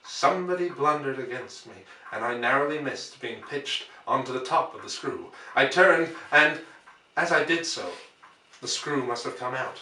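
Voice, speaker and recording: A man reading a classic novel aloud as dramatic narration, with measured, theatrical phrasing. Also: mid-range voice, expressive, bright, forceful tone, slight background noise. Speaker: audiobook reader. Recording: roomy echo, distant microphone.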